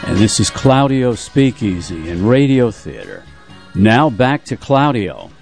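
A man's voice: medium pitch, big pitch swings, unhurried, vocal fry, darkish tone, faint music behind. A man reading scripted announcements as a radio station announcer.

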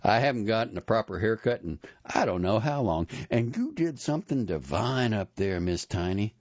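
A man voicing a sly, showy, smooth-talking middle-aged man with a boastful drawl. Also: fairly low pitch, animated, normal speed, a little mellow, dry recording, highs cut off, pitched above the performer's own voice.

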